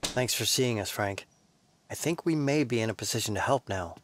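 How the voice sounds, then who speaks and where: An actor playing a calm, earnest researcher who explains things helpfully in an audio drama. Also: male voice, mid-range voice, normal speed, a little hiss or hum, lightly acted. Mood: earnest, concerned.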